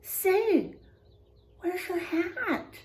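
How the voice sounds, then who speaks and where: A woman's voice: very high-pitched, drawn-out words, voice pushed up, full character voice. A woman voicing a practical older sister in a storybook, firm and reassuring. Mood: curious.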